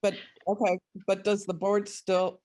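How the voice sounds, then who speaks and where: A woman speaking in an online board meeting, measured but stumbling at the start of her points. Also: medium pitch, flat delivery, warm tone. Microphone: video call.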